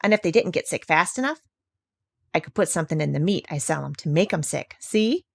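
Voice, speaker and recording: Lady doing a pushy folksy meat seller guy for a storybook, rough grammar, voice jumping up and down, dry sound. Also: sits low, animated, brisk, no echo, exaggerated voice. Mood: eager, persuasive. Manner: colloquial, uneducated, salesman-like persuasion. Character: folksy, scheming tradesman, the cat's meat man.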